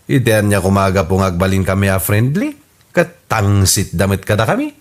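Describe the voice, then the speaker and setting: A man's voice: lowish voice, sing-song, moderate pace. A man with an Ilocano accent playing a smug, stubborn husband who feuds with neighbours in a comedy radio drama. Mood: defiant.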